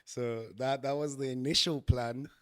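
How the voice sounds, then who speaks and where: A man's voice: medium pitch, fairly even intonation, moderate pace, a bit bright, clear, resonant tone. A man in his thirties, a musician guest on a podcast, laughing often and restarting his sentences. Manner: giggly, hesitant, many restarts. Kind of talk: casual interview chat.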